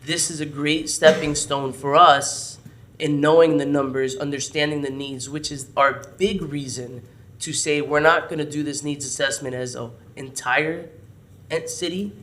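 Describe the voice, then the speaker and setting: A man, a parks department director, explains programs at a city meeting while hoarse and losing his voice. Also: a little high, measured pace. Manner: explanatory, steady briefing. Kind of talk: briefing at a public meeting. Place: city council chamber.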